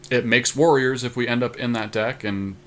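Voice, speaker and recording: A man's voice: medium pitch, steady tone, normal speed. A man co-hosting a casual card game podcast, thinking his choices through aloud in a conversational way. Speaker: podcast co-host.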